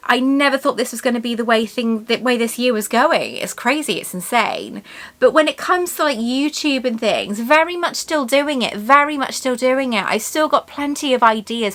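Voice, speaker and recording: A Welsh woman chats away as the host of her own unboxing vlog. Her voice is bright and fairly high, and it rings with a forceful edge. She rambles and gushes as she goes, and she laughs often. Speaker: YouTube host. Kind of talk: casual chatty unboxing vlog.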